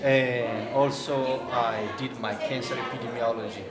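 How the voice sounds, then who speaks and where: A man's voice: mid-range voice, steady tone, unhurried, over loud music. A man in his thirties with an Ethiopian accent, presenting a research poster at a conference with many hesitant fillers. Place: large busy conference hall. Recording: echoey hall with loud crowd chatter.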